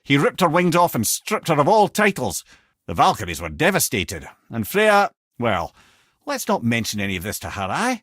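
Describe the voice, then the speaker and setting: An actor playing a wise, witty old Scottish storyteller and advisor in a video game, speaking in an eloquent, theatrical and wry way. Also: male voice, medium pitch, huge pitch swings, normal speed, a bit bright, dry recording, clean studio sound.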